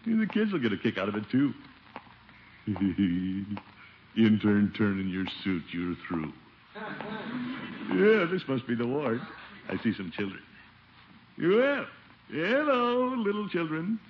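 Blustery, swooping middle-aged American bachelor. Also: male voice, fairly low pitch. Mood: smug, then hearty. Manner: blustery, exclamatory, theatrical. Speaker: lead comic actor. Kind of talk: 1940s-50s radio sitcom acting. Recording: dull, hissy old radio recording.

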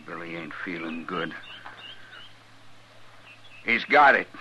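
An actor playing a hard, defensive rancher in an old-time American radio western, with folksy rough speech and a raspy voice. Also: male voice, deep voice, expressive, takes it slowly, pushed and bright, a little hiss or hum, full character voice. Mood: alarmed, desperate. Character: hard, defensive male rancher turned desperate father. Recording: dull vintage radio recording.

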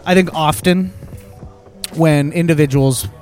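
A man with a slightly high voice co-hosts a podcast and chats casually, rambling and laughing often. Faint music plays behind him. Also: measured pace. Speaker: podcast co-host. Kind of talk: casual chat.

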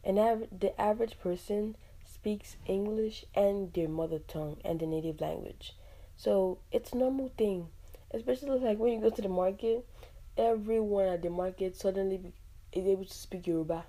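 A young woman from Nigeria, hosting a casual solo podcast, chatty and animated, with frequent laughs and fillers. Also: mid-range voice, normal speed, poor audio. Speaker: podcast host.

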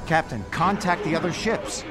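A man's voice: on the high side, normal speed, natural voice. An actor playing an earnest young male Jedi knight, urgent and decisive, in a space opera audio drama with music behind.

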